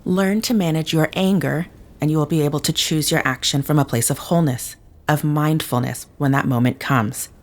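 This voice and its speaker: A woman playing a calm, analytical companion in an audio drama, speaking precisely and formally without contractions. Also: low voice, moderate pace, natural voice. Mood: measured, clinical. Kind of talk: scripted audio drama acting.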